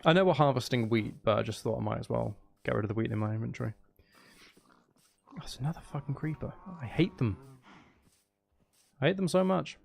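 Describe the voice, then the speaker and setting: Gravelly man bantering on a game stream. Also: mid-range voice, animated, brisk, pauses often, a little mellow. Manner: playful mock-threatening banter with viewers. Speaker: streamer, host. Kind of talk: casual gameplay livestream chat.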